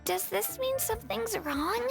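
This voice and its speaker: A woman's voice: very high voice, animated, a little slow, creaky, full character voice. A woman voicing an earnest, thoughtful little child in a video game, explaining matter-of-factly over music. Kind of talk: video game character voice acting. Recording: music playing behind.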